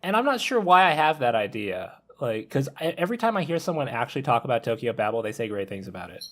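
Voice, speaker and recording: Laid-back male podcast panelist, middle-range voice, halting and self-correcting in casual discussion. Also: mid-range voice, very animated, fairly bright tone.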